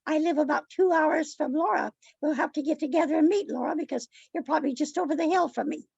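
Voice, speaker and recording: Chatty woman from california, high gravelly voice, dry muffled call audio. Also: fast, a little mellow, dry recording. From Northern California. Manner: chatty, playful. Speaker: meeting guest. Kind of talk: casual chat on a video call. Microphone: video call.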